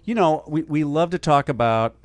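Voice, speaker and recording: A man's voice: on the high side, lively intonation, moderate pace, dry recording. A man hosting an interview show, joking and playful with his guest. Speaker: talk show host. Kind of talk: casual interview chat.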